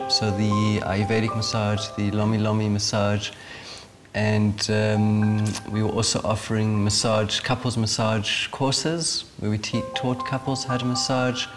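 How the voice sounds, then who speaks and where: A man talks through his path into healing work in a measured, low voice that barely moves in pitch. He pauses often with fillers. Music plays under him throughout. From South Africa. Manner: hesitant, with many fillers. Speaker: interviewee, therapist. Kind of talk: reflective interview.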